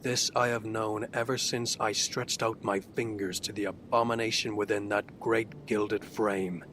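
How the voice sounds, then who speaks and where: A man's voice: lowish voice, flat delivery, a little slow, slight background noise. A man playing a tormented, reclusive outcast in a horror audio drama, narrating in an ornate, theatrical and intense manner. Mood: bleak, resigned. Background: dark fantasy ambience music.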